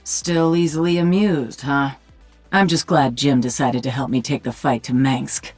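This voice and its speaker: A sardonic psionic warrior queen from a video game. Her voice is low and husky, with a dry, commanding manner. Music plays behind her. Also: steady tone, a little slow, darkish tone. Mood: dry, wry. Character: sardonic psionic alien-hybrid warrior queen, adult woman. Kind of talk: video game character voice lines.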